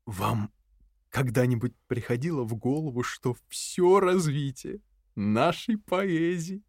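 An actor playing a jittery, ruminating intellectual prisoner in an audio drama, speaking in a halting, brooding way. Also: male voice, mid-range voice, animated, darkish tone, no room sound, clean studio sound. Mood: nervous musing.

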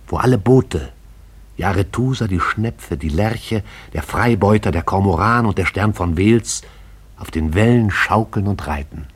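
Radio drama narrator, male: low, husky voice, poetic and incantatory, hushed intensity. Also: unhurried.

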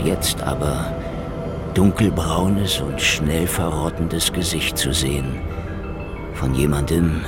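A middle-aged actor playing a world-weary, sardonic Soviet investigator, narrating a hardboiled radio drama in a slow, deadpan, gravelly voice over music. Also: male voice, heavily acted. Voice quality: very low, gravelly. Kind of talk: noir first-person radio drama narration. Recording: loud music bed.

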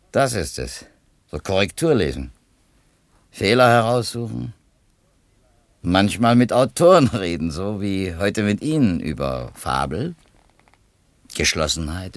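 Thoughtful editor, very animated intonation. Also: male voice, on the low side, vocal fry, heavily acted. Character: thoughtful, educated male publishing editor, curious and uneasy. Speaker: actor in radio drama. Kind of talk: 1970s East German radio play acting.

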